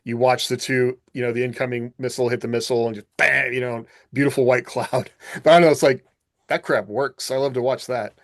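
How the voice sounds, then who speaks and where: A man's voice: medium pitch, steady tone, brisk, no room sound, studio quality. A man co-hosting a podcast in a loose, informal chat full of conversational filler phrases. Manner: informal, peppered with "like" and "you know". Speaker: podcast co-host. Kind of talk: casual chat from personal experience.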